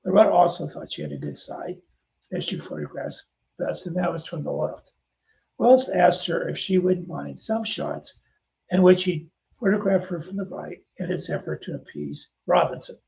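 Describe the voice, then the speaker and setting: Gravelly older man telling anecdotes. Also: a little high, fairly even intonation, normal speed, darkish tone, dull audio, a little hiss or hum. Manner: anecdotal, chatty, addresses listeners directly. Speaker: podcast host and author. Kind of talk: casual storytelling narration.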